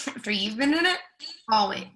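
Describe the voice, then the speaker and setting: A woman's voice: a little high, lively intonation, a little slow, a bit bright. A woman playing a cheeky, wisecracking young woman in a play reading, with a wry, teasing delivery. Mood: wry, curious.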